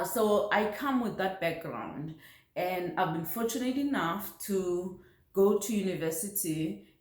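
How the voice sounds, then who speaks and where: Echoey room, woman, middle-aged, South African accent, lowish voice, conversational vlog delivery. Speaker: parenting vlogger. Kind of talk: casual personal talk to camera. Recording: roomy, echoey home recording.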